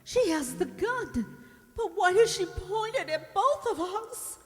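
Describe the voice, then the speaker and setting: An actress playing a frightened young woman thinking aloud, breathy and anxious, in a melodramatic radio play. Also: very high voice, big pitch swings, slow, full character voice. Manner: breathy, anxious, dramatic, often whispering. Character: frightened mute young woman voicing her inner thoughts. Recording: echoey hall, long reverb.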